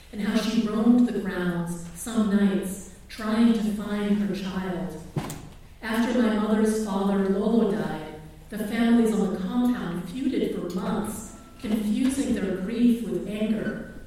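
Middle-aged woman author reading her memoir aloud, measured and reflective, mellow tone, distant mic in a very echoey room. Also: mid-range voice. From Philippines.

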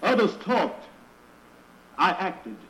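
An actor playing a proud, defiant enslaved man, speaking in a deliberate, declamatory way in an old radio drama. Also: high voice, very animated, fairly bright tone, roomy sound, heavily acted, poor audio. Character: proud, defiant enslaved man, fearless gambler turned rebel. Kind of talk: 1940s radio drama acting.

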